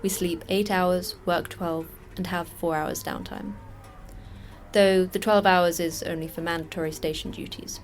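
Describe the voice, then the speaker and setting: A woman's voice: on the low side, fairly even intonation, unhurried, natural voice. A woman playing a jaded, terse station manager in an audio drama, dry and matter-of-fact. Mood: wry briefing. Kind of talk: audio fiction podcast acting.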